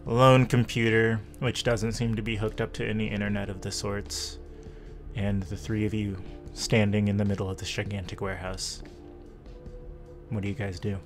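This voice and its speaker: A man narrating a tabletop roleplaying game as game master, halting and pausing between short phrases. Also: lowish voice, level tone, faint music behind.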